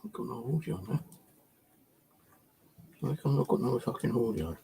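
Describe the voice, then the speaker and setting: A man's voice: mid-range voice, lively intonation, moderate pace, vocal fry, dark tone, a little hiss or hum. A British man chatting casually on a livestream as its host, rambling and hesitant in an informal way.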